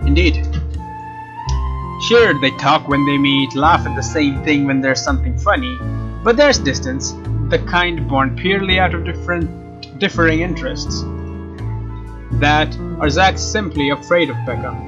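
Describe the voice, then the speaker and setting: Higher-voiced man reading game narration aloud. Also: a little slow. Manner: casual, stumbles and rereads phrases. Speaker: let's play host. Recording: slightly dull mic, game music behind. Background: game music with guitar.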